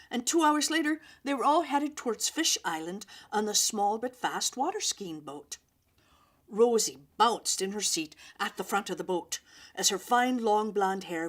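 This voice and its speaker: A woman storyteller reading a children's story aloud in an expressive, deliberate way. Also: medium pitch.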